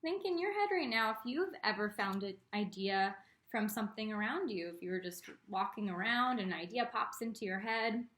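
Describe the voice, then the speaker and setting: A woman reading a picture book aloud to children as an art teacher, expressive, with teaching asides spoken directly to the young listeners. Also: mid-range voice, normal speed, few pauses, a little hiss or hum.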